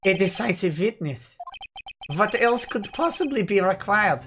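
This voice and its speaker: A man's voice: high voice, expressive, unhurried. Guy doing snooty impatient prosecutor from a video game, cold n clipped, faint music behind. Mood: disdainful. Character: haughty, impatient male prosecutor, cold and dismissive. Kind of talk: video game character voicing.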